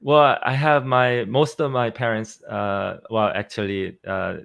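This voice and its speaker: A man in his fifties from South Korea, speaking English with a Korean accent as a finance CEO guest in a casual interview, halting with fillers and restarts. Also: fairly low pitch, fairly even intonation, creaky, a bit bright. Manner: halting, many fillers and restarts, quick laughs.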